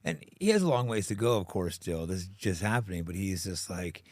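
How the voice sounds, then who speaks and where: A man with a fairly low voice speaks as a podcast host in casual interview chat. His talk is informal and slangy, with loose swearing, and the sound is dry and close. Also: expressive, brisk, no room sound.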